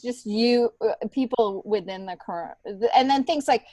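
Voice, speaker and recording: A middle-aged American woman, a stand-up comedian being interviewed, telling stories casually with an animated, emphatic delivery. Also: fairly high pitch, a little slow. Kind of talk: casual conversational storytelling.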